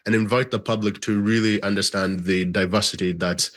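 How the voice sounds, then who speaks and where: A man's voice: fairly low pitch, fairly even intonation, brisk. A man from Uganda, a documentary filmmaker, giving thoughtful interview answers and often checking in with "right?".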